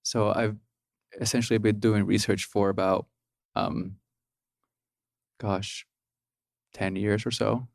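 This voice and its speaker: A man in his thirties from Peru speaks in a low, gravelly voice as a podcast guest. He talks casually, pausing often with ums and restarting his phrases. The sound is dry and close. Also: little pitch movement, dry recording. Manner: hesitant, many ums and restarts. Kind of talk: casual interview.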